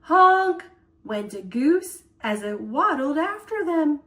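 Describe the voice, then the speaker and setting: A woman tells a children's story aloud with playful emphasis. Her voice is fairly high and very animated. She reads slowly and expressively, giving words room.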